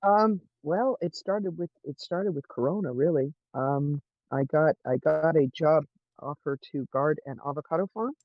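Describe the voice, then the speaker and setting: Halting American man, higher-pitched dull audio. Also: no echo. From California, United States. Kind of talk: casual chat.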